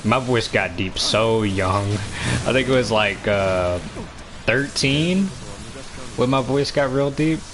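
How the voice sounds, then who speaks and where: A nineteen-year-old man with a deep voice, chatting casually while streaming a game, full of fillers and restarts. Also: slow pace, crowd noise. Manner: rambling, many fillers and restarts. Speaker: gaming streamer.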